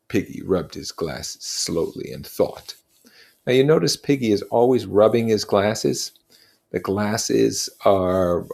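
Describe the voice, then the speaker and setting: Low-pitched man reading a novel aloud. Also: gravelly, a little mellow, slightly rough. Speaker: reader and teacher. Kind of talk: read-aloud narration with explanatory comments.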